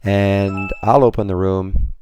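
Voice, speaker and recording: A hoarse man moderating a trading room, talking through his trades on a screen share in a rambling way, full of restarts and fillers. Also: low-pitched, level tone, slow, a little mellow, slight background noise.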